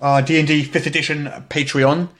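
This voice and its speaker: A man's voice: a little high, flat delivery, fairly quick, fairly bright tone. A man co-hosting a podcast, casually chatting through gaming news. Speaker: podcast co-host.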